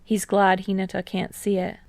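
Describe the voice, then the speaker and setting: A woman's voice: medium pitch, completely flat delivery, moderate pace, no room sound. A woman reading a scripted story aloud as an audiobook narrator. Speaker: fan fiction audiobook narrator.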